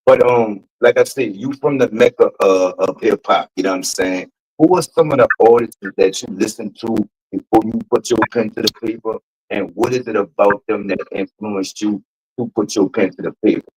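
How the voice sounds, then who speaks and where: Man, late 30s, New Orleans accent, husky, low voice, laid-back podcast host. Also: normal speed, darkish tone, poor audio. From New Orleans, USA. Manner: laid-back, repeats "you know what I'm saying". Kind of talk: casual interview questions.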